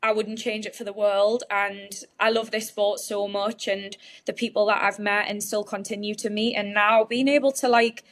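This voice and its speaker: A woman's voice: mid-range voice, level tone, moderate pace, creaky, few pauses. A woman in her late twenties from Newcastle, speaking with a northeast English Geordie accent, answering interview questions in a chatty, open way. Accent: Geordie (northeast England). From Newcastle, northeast England. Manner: chatty and open, explains at length. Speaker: guest, bodybuilding competitor.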